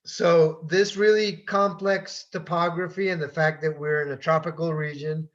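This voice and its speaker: A man in his forties from Colombia, presenting slides about his bird tour business, talking haltingly with many fillers and repeated words. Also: a little high, little pitch movement, fairly bright tone. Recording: dull lo-fi sound.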